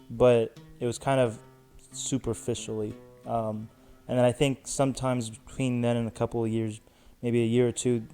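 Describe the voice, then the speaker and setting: A man in his twenties, a podcast guest speaking in a measured, reflective way with many "you know"s. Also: medium pitch, dark tone, clear, resonant tone. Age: 20s. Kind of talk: casual chat.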